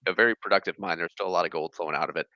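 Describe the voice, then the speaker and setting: Deep-voiced man rambling casually. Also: steady tone, very fast, pushed and bright, dry recording, dull audio. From born in Germany, raised across the US. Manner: rambling, many fillers and restarts. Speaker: guest, fish channel creator. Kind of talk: casual interview chat.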